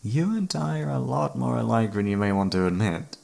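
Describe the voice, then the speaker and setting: A man's voice: medium pitch, lively intonation, fairly quick, vocal fry, darkish tone. A man acting an obsessive, flirtatious serial killer who holds a detective captive, speaking with teasing mock courtesy in an ASMR roleplay. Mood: flirtatious, menacing. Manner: teasing, mock-polite, theatrical, savouring words. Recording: background music under the voice.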